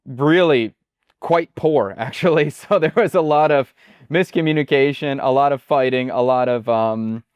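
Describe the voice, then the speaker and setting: American guy talking about his life abroad, smooth clear voice, dry close sound, kinda halting. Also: mid-range voice, no room sound, dull audio. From United States. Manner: relaxed, halting, repeats words. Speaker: chef and guest. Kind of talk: casual interview chat.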